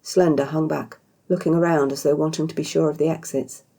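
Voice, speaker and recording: Low-voiced British woman narrating a story. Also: fairly even intonation, brisk, warm tone. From Britain. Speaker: author reading her own story.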